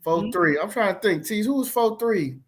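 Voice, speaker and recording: A man's voice: high voice, expressive, moderate pace. A man co-hosting a casual podcast, playful and slangy as he hypes up the episode. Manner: playful, slangy, hyping up the show. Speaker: podcast co-host.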